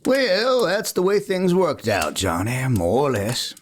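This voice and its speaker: A man's voice: fairly high pitch, wildly swooping pitch, slow pace, slight background noise, heavily acted. A man playing a folksy, easygoing cowboy who tells a story in an old-time radio play, full of homespun asides. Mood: modest, easygoing. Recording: guitar music playing behind.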